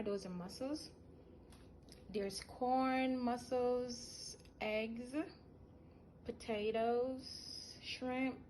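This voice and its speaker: A woman's voice: on the high side, poor audio. A Jamaican woman with a Jamaican accent, chatting casually through a cooking vlog as she explains each step while filming on a handheld phone in her home kitchen. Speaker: home cook selling meals. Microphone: hand-held phone. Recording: kitchen phone recording with loud cooking noise. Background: loud boiling and cooking sounds.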